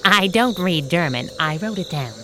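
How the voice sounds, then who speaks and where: A woman performs a fearless sidekick from a 1930s radio adventure. Her delivery is snappy and wry, swinging widely in pitch as she trades quips. Clear insect-like ambient noise sits behind her voice. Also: lively intonation, normal speed, lightly acted. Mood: dry, wisecracking. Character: fearless, wisecracking female sidekick, 1930s pulp heroine.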